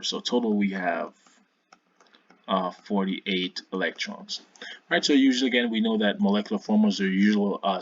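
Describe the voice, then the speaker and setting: A man's voice: lowish voice, creaky, slightly rough, no echo, lo-fi sound. A man giving an informal science tutorial as a video host, hesitant, often repeating and correcting himself.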